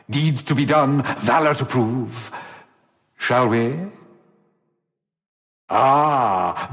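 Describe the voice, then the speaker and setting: An actor voicing a flamboyant, teasing rogue operative in a video game, with florid, mock-gallant delivery. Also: male voice, medium pitch, fairly bright tone. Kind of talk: theatrical video game character acting. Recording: long echoing hall reverb.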